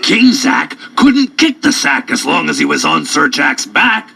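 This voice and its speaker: A man narrating children's stories in a playful, theatrical, drawn-out way. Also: on the high side, sing-song, creaky, pushed and bright, dry recording. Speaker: storyteller. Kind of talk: animated children's story narration. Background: music.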